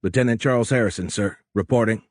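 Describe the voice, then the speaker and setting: A man's voice: on the low side, fast talker, no echo, studio quality, lightly acted. Man playing an earnest young navy lieutenant. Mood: formal, dutiful.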